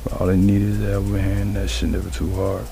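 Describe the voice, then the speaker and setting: A nineteen-year-old man with a very low, heavily gravelly voice that stays flat as he muses. He talks in loose, profane slang, sometimes drops to a hushed whisper, and has steady noise behind him. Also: fairly quick. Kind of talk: freestyle musing monologue. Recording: noisy background ambience.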